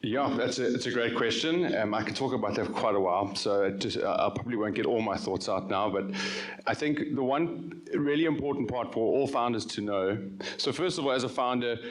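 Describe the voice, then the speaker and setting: Low-pitched guy on a vc panel, chill and chatty, lots of ums. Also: a little fast. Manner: relaxed, conversational, frequent ums. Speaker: venture capital managing director, panelist. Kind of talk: panel discussion.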